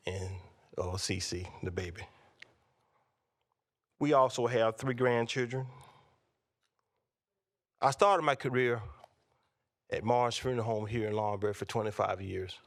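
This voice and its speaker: A man, introducing himself as a candidate at a local forum in a measured, plainspoken way. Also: lowish voice. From North Carolina, USA. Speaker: candidate for county commissioner.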